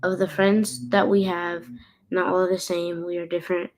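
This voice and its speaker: A woman co-reading a picture book aloud carefully, halting now and then and repeating phrases. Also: on the low side, little pitch movement, low-quality recording.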